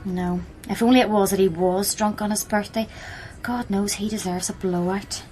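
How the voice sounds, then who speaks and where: A woman's voice: mid-range voice, steady tone, moderate pace, a little mellow, a little hiss or hum, close to an everyday voice, rough recording. An actress playing a fussing, loving young Irish woman who cares for her partner, in a naturalistic radio drama. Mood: weary, confiding. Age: young adult.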